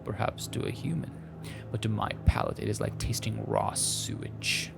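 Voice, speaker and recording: A man voicing a haughty, menacing female alien creature in a visual novel, with a gravelly growl that turns breathy and slow, formal speech. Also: on the low side, steady tone, over music. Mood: disdainful.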